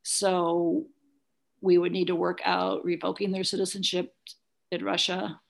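Middle-aged female interview guest, gravelly lower register, plain-spoken personal recollection. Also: level tone, a little slow. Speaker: interview guest, adoptive single mother. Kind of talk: conversational interview, recounting personal experience.

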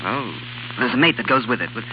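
An actor playing a weary, desperate and proud young sculptor in a 1930s radio drama, speaking haltingly. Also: male voice, medium pitch, lightly acted. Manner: hesitant, halting, repeating words. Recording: dull, hissy 1930s radio broadcast.

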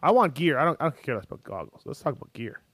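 Brief: thirties man, radio show host, slightly high voice, joking banter, dry studio sound. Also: very animated, very fast, a bit bright, husky, no room sound. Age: 30s.

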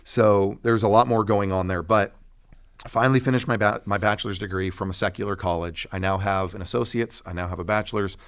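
A man's voice: fairly low pitch, steady tone, fairly quick, clear, resonant tone. A middle-aged American man giving a personal testimony in an interview, speaking emphatically like a preacher in short, repeated phrases. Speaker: pastor guest telling his testimony.

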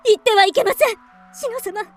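A woman's voice: extremely high pitch, brisk, faint music behind. A woman playing an anxious, devoted young maiden in a period radio drama, pleading earnestly.

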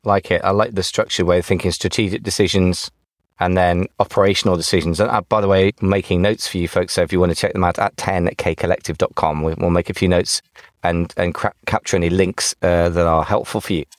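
A man's voice: sits low, steady tone, brisk, dry recording, clean studio sound. A man hosting a business podcast, chatting casually with playful asides and thinking aloud with fillers. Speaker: podcast host. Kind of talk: casual interview chat.

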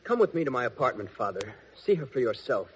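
A man's voice: medium pitch, very animated, fast talker, a bit bright. A man playing an earnest, tender young prince and devoted son in a theatrical fairy-tale audio play. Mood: gentle. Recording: dull, slightly noisy recording.

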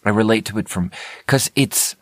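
An American man with a fairly low voice talks casually as a solo podcast host. He rambles candidly, breaking off and restarting his own sentences, in a dry recording with little room sound. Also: normal speed, no echo. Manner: candid, conversational, self-interrupting.

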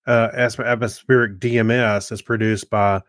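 A man's voice: lowish voice, level tone, moderate pace, fairly bright tone, no room sound. Wisecracking male co-host, dry close sound. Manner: wisecracking interjections. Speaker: podcast co-host. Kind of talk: casual banter.

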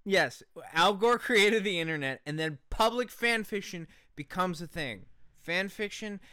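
A man's voice: high-pitched, expressive, unhurried, sharp tone, clean tone, no echo, studio quality. A man co-hosting a podcast, telling stories in a casual, irreverent, joking way with some swearing. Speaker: podcast co-host. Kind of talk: casual comedic storytelling.

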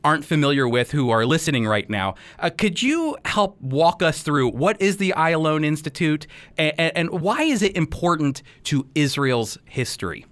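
A man's voice: on the high side, big pitch swings, normal speed, a bit bright, no room sound. An American man hosting a radio program, explaining and commenting in an emphatic, explanatory way. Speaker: radio host and teacher.

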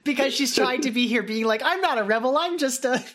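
A woman's voice: high voice, lively intonation, fairly quick, fairly bright tone. A woman co-hosting a podcast, talking casually as she reviews a show with a partner. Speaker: podcast co-host. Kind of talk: casual chat reviewing a radio drama.

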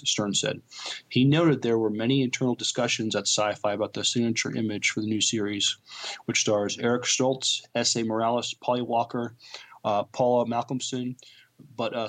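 A man's voice: medium pitch, a bit bright. A man co-hosting a podcast, talking and reading news in a hesitant way, often stumbling over words. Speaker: podcast co-host.

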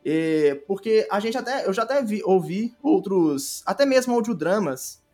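A Brazilian man, a podcast guest explaining his creative work in an informal, halting way. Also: high-pitched, animated, warm tone. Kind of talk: casual interview chat. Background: faint music.

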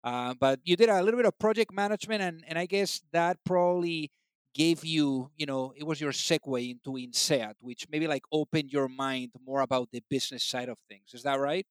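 Man, Spanish accent, podcast host, upbeat, chatty, smooth voice, dry close sound. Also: fairly high pitch, brisk, no echo. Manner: upbeat, chatty with many fillers. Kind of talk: casual interview chat.

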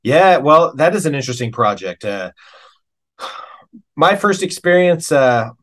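A middle-aged American man talking casually as an interview guest, telling stories like a working musician, with pauses and emphasis on key words. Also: a little high, sing-song. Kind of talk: casual conversational storytelling.

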